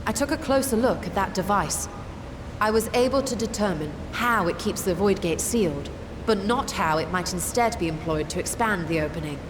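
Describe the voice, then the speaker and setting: An actress playing a self-assured, scholarly sorceress in a fantasy game, explaining in a precise, composed and formal way. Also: female voice, mid-range voice, lively intonation, moderate pace, background noise, lightly acted. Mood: composed, lecturing.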